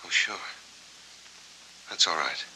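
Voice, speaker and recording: Low, forceful noir man seeking answers. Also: animated, takes it slowly, exaggerated voice. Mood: uneasy. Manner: clipped, hesitant, questioning. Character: searching, polite, uneasy man pressing for answers. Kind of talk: 1940s film noir acting. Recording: noisy, humming old 1940s film soundtrack.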